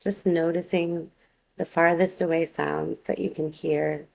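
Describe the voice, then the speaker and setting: A woman teaching yoga nidra, guiding a relaxation meditation in a calm, unhurried, gently instructive way. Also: sits low, gravelly, low-quality recording.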